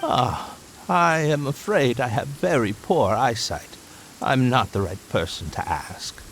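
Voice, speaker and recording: A man's voice: medium pitch, lively intonation, fairly bright tone, slight background noise. A man playing an apologetic bystander with a mild, regretful manner in a video game. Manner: slow, apologetic. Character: apologetic, poor-sighted male bystander.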